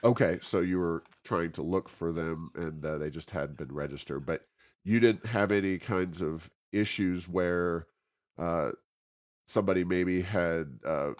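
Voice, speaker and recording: A man hosting a conversational interview, phrasing his questions in a halting, searching way. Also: deep voice. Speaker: interview host.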